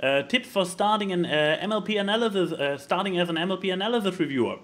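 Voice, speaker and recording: A man co-hosting a casual podcast, speaking haltingly and stammering as he searches for words. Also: high-pitched, fairly bright tone. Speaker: podcast co-host.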